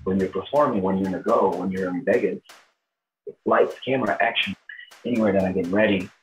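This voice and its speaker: A man's voice: deep voice, brisk, a little hiss or hum, poor audio. A man in his thirties from Brazil with a Brazilian accent, giving informal interview answers as a performer. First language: Portuguese. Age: 30s. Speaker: tribute show performer, interviewee.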